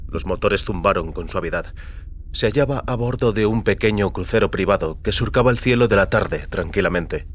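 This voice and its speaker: A man's voice: low-pitched, moderate pace, a little hiss or hum. A man narrating scripted audio fiction as a storyteller.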